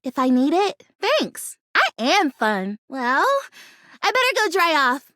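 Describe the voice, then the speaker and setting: A woman voicing a perky, earnest young female houseplant who longs to be real, bubbly and chatty, in an animated video game style. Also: high-pitched, takes it slowly, dry recording, clean studio sound, heavily acted. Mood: disappointed but resilient. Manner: bubbly, gushing, naively optimistic, chatty.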